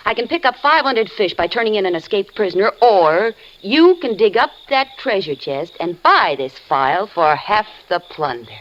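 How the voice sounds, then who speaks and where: A woman's voice: mid-range voice, sing-song, normal speed, few pauses, fairly bright tone. An actress playing a tough, greedy woman captor who taunts and threatens her prisoner, in 1940s radio acting style. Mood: taunting. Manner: taunting, sly, coldly threatening. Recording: dull old radio broadcast.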